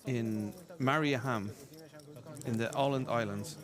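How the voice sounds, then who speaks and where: A man's voice: medium pitch, fairly even intonation, slow, crowd noise. Irish guy doing casual poker commentary, chatty, kinda stumbles through his stories. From Ireland.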